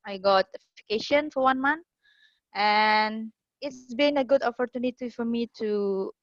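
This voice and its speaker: A woman from Bali, Indonesia, a church member sharing on a video call, speaking in a halting way as she searches for words. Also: fairly high pitch, dry recording. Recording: dry muffled video call audio.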